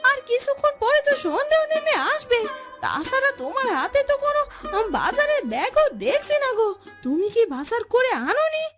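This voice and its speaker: A woman's voice: very high-pitched, very animated, fairly quick, over loud music, voice pushed up. A woman voicing a worried, plaintive poor village wife and mother in a cartoon story. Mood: questioning, upset. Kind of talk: Bengali moral story cartoon dubbing.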